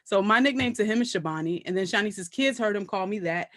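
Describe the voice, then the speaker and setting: A woman with a Brooklyn accent, a comedian guest chatting casually and telling animated stories with frequent laughter. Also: medium pitch, fast talker, dry recording, clean studio sound. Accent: Brooklyn, New York. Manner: laughs often, animated storytelling. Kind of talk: casual interview chat.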